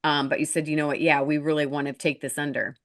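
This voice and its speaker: A woman's voice: low voice, little pitch movement, fast talker. A woman hosting a talk show, chatting in an upbeat, informal way as she interviews a guest. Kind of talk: casual interview chat.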